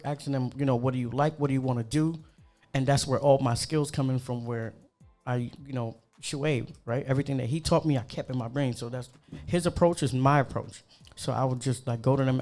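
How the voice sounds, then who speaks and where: Animated man talking food and cooking. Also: medium pitch, soft background music. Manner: animated, talks with slang and quick asides. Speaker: cook. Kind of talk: casual chat.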